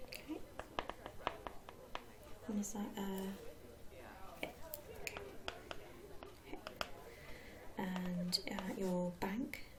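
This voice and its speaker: A British woman whispering softly and breathily in an ASMR roleplay, gently reassuring a customer like a receptionist. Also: mid-range voice, expressive, drawn-out words, long pauses, very noisy. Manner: gentle, reassuring customer-service patter with endearments. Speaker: ASMR performer playing a club receptionist.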